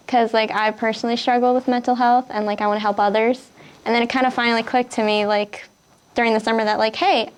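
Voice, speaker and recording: Young woman, Wisconsin accent, slightly high voice, unsure and filler-heavy interviewee. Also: a little hiss or hum. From Kenosha, Wisconsin. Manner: hesitant, many fillers and nervous laughs. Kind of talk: reading word list, then casual interview answers.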